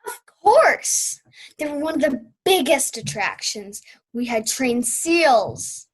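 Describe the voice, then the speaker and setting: Young woman playing a high-voiced, theatrical eccentric old aunt. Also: very animated, takes it slowly, slightly rough, heavily acted, low-quality recording. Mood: excited, proud. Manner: theatrical, grandly reminiscing. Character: eccentric, dramatic old aunt, former vaudeville contortionist. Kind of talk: amateur student radio play acting.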